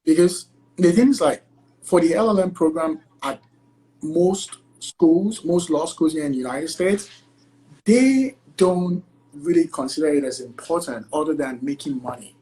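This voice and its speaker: Young Nigerian-accented man, animated and talkative guest on a video call. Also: on the high side, measured pace, poor audio. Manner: animated, chatty, many fillers like "like" and "you know". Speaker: guest, entertainment lawyer. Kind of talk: casual interview conversation.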